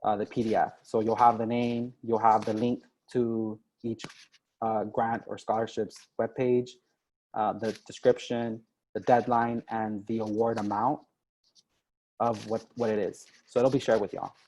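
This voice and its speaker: A man's voice: fairly low pitch, little pitch movement, a little slow, dark tone, rough recording. Conversational young man, video call. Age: young adult. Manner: conversational, many ums and "right?" tags. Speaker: diplomat and guest speaker. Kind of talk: informal online info session.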